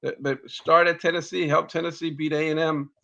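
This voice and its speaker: A man co-hosting a casual baseball talk show, halting and repeating words as he talks. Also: a little high, little pitch movement, vocal fry, pushed and bright, lo-fi sound. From Mexican roots. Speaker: baseball podcast co-host. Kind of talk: casual sports chat.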